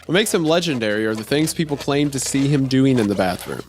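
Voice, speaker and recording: A man's voice: mid-range voice, animated, fast talker. A man narrating a strange first-person horror-comedy story in a wry, conversational way. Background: faint music.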